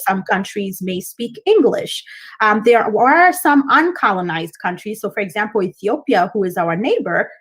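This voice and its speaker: A woman from Kenya, a Kikuyu speaker, chatting as a guest and explaining at length with frequent ums. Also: medium pitch. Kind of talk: casual interview.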